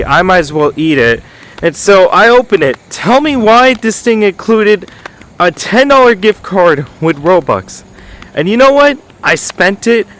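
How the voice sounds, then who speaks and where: A young man reviewing a show in a casual, excitable and rambling way, his voice going hoarse. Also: fairly high pitch.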